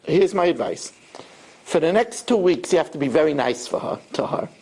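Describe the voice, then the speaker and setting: A man voicing a wise, calm, older male rebbe who gives matter-of-fact advice with dry humor. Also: on the high side, moderate pace, slightly rough, rough recording. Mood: advising.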